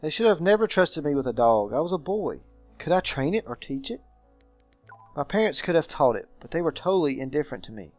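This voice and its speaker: Man reading as a melancholy, haunted gentleman guardian. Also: a little high, very animated, fairly quick, no room sound, faint music behind. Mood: regretful. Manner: formal, brooding storytelling.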